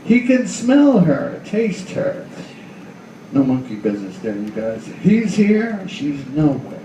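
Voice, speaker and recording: A man's voice: on the high side, big pitch swings, slow pace. A man narrating a scripted noir radio play in the third person, as a storyteller reading aloud. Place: live reading in a roomy venue. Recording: echoey room, distant mic, faint noise.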